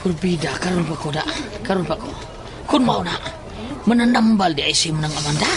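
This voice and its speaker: A man with a Cebuano accent playing an excitable, superstitious village friend in a horror audio drama. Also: a little high, fairly quick, lightly acted. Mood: hushed disbelief.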